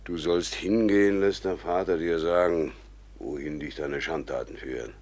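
An actor playing a dry, sceptical comrade in a band of rogues, with deliberate, sardonic delivery in a classical radio play. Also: male voice, a bass voice, a little mellow. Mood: sardonic reading.

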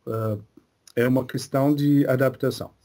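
A man speaking as a spirit guide in a religious session, slow and hesitant, often pausing to search for his words. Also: medium pitch, steady tone, warm tone. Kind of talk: slow halting spiritual talk.

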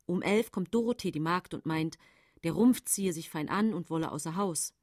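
A woman's voice: lowish voice, normal speed, darkish tone, dry recording, studio quality, lightly acted. An actress playing a composed, matter-of-fact woman poisoner who recounts her case in sober radio play narration. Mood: numb, factual. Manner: sober, controlled storytelling.

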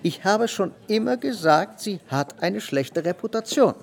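Radio drama role: indignant, self-righteous salon husband, gravelly and wildly animated. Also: male voice, high voice, measured pace, exaggerated voice. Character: self-righteous husband of a society hostess, blustering. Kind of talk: German radio drama acting.

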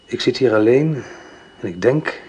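An actor playing a recovering sick man in a radio play, speaking quietly and measuredly with a dry, pointed edge. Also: medium pitch, expressive, a little slow, husky. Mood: quiet, wary. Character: convalescent man, quiet, watchful and suspicious.

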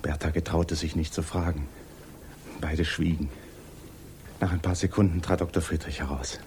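An actor playing a grave, detached storyteller, narrating a 1950s German radio drama. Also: male voice, a bass voice, steady tone, moderate pace, darkish tone. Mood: grave, subdued. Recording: hissy 1950s radio recording.

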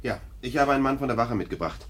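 An actor in a German radio drama playing a gruff investigating official, distrustful and commanding, with a brusque, scornful manner. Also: male voice, mid-range voice, quick pace, fairly bright tone. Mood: brisk, commanding.

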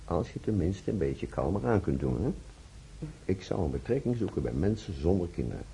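An actor playing a kindly young hospital doctor in a radio play, giving advice in a paternal way. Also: male voice, low-pitched, very animated, fairly quick, gravelly, mellow, slight background noise, full character voice. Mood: paternal, reassuring. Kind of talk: radio drama acting.

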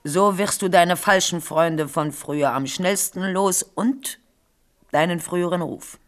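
A woman's voice: fairly low pitch, unhurried, lightly acted. An actress playing a scheming older queen mother, condescending and needling, in German radio drama style.

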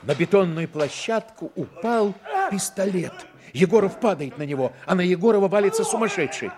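A man's voice: high-pitched, lively intonation, normal speed, a little mellow. A man reading scripted narration as the storyteller of a Soviet radio drama.

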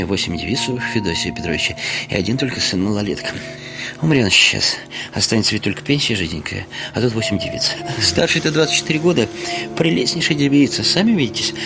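An actor playing a fawning, insistent widower in a Russian radio play, flustered and servile, with old-fashioned hissed politeness. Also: male voice, medium pitch, vocal fry. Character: fawning, fussy, insistent widower eager to remarry. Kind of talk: Russian radio play acting of a literary classic. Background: music.

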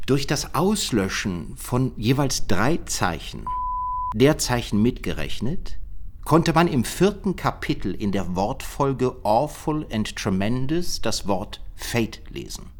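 An actor playing a matter-of-fact cold case detective in a radio drama, briefing a colleague in a measured way. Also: male voice, mid-range voice, close to an everyday voice.

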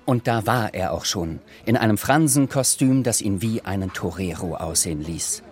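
A man's voice: on the low side, normal speed, darkish tone, soft background music. A man giving scripted literary narration as the storyteller of a radio drama.

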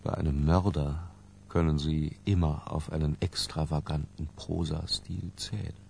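An ornate, self-mocking murderer giving his confession in a literary radio play. The voice is very deep and creaky, and he moves very slowly through florid phrases with long pauses. A faint hum sits behind him. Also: little pitch movement, a little mellow, heavily acted. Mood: ironic, ornate.

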